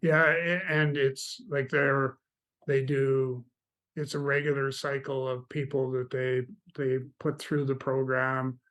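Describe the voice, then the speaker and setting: A man from Canada, a guest in an interview, telling stories about mentoring in a relaxed, meandering way. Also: medium pitch, slow. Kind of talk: casual interview chat.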